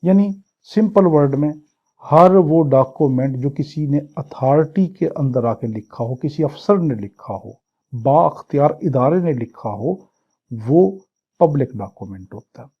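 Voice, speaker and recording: A dull, lo-fi recording of a man with a Pakistani accent giving an exam-prep lecture. His voice is rough and husky. He teaches didactically, setting out questions and supplying the answers himself. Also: a little high, animated, a little slow. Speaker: law lecturer. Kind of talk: exam-prep lecture, reading English clauses and explaining them.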